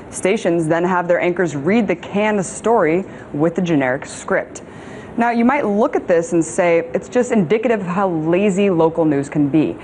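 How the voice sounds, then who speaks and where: A woman's voice: fairly low pitch, a little mellow, a little hiss or hum. An American woman in her thirties presenting scripted opinion commentary in an emphatic, sardonic manner as a news show host. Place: TV studio.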